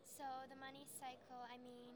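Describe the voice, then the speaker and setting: A thirteen-year-old girl from Croatia, answering interview questions haltingly with many fillers. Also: high voice, level tone. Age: teens. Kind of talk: casual interview. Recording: busy crowd noise behind.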